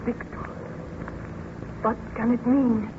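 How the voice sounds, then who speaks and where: A woman's voice: a little high, level tone, slow. A woman playing a frightened, pleading, anxious heroine in theatrical old-time radio melodrama style. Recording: tinny, noisy vintage radio recording.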